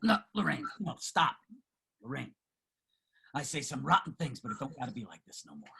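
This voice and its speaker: Man acting a husky, pleading homeless man. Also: medium pitch, big pitch swings, normal speed, bright, forceful tone, heavily acted. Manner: earnest, colloquial, pleading. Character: rough-spoken, yearning homeless man, pleading and tender. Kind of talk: dramatic table reading.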